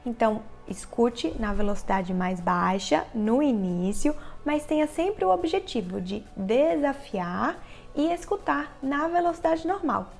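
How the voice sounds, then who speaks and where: A woman with a Brazilian Portuguese accent explaining English listening tips directly to the viewer in a clear, instructional vlog narration. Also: a little high, lively intonation, a little slow, darkish tone, soft background music. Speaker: English teacher, channel host. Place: living in the United States.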